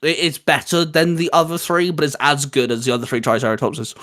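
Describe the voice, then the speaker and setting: A man's voice: a little high, level tone, brisk, fairly bright tone. A man chatting casually as co-host of a fan countdown, enthusiastic and opinionated, often tripping over his words. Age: adult, father of a young son. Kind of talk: casual geeky chat.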